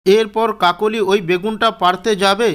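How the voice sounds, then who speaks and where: A man's voice: high voice, steady tone, normal speed, a bit bright, clear, resonant tone. A man narrating a scripted cartoon fairy tale as its storyteller.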